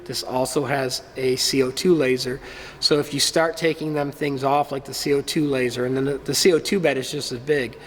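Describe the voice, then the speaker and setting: A man's voice: medium pitch, fairly even intonation, brisk, big-room sound, slight background noise. A man getting over a cold gives a casual, plain-spoken review of a machine in his workshop, with lots of ums. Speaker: small-business maker reviewing his laser cutter.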